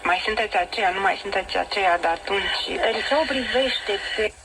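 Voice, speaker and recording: A woman's voice: mid-range voice, brisk, background noise. A woman talking on a broadcast, heard through the speaker of a small shortwave radio.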